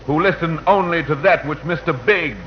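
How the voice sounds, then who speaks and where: A man's voice: on the high side, normal speed, close to an everyday voice. Solemn radio orator played by a man, muffled vintage broadcast. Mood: grave, commanding. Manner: solemn, declamatory, poetic. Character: radio personified as a solemn, fervent male orator. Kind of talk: 1940s radio verse drama oratory. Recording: muffled old 1940 radio broadcast, music behind.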